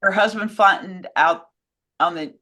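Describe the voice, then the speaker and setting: Bright-voiced woman co-hosting casually. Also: mid-range voice, a little slow. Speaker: co-host reading fan questions. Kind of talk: casual chat and reading out questions.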